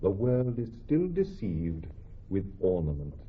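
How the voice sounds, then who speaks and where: Deep, very husky noble suitor. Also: male voice, wildly swooping pitch, measured pace, highs cut off, full character voice. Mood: brooding. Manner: earnest, rhetorical verse delivery. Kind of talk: classical Shakespearean radio acting.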